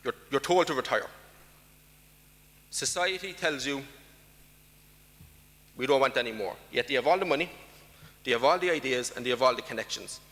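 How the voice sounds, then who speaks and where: A man's voice: medium pitch, animated, normal speed, long pauses, fairly bright tone, in a large hall. A man with an Irish accent giving an emphatic conference talk, putting rhetorical questions to the audience. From Ireland. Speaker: conference speaker. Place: large conference hall.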